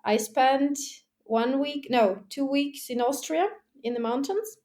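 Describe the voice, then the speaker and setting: A woman's voice: high-pitched, slow. A woman being interviewed about her diving, talking casually with frequent laughter and acting out her own remarks. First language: not German. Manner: lively, laughs often, acts out her own past remarks; restarts and fillers like "like". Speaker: guest, technical diver and underwater photographer.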